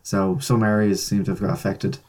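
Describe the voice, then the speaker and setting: An Irish man co-hosting a casual podcast, laid-back and quick to laugh, with plenty of fillers. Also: lowish voice, steady tone, darkish tone. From Ireland. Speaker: podcast co-host.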